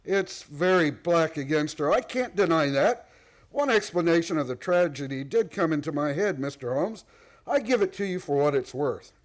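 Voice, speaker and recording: A man's voice: on the high side, expressive, moderate pace, lightly acted. An actor playing a proud, domineering, wealthy man in a radio play, blunt, formal and defensive. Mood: explaining, sombre.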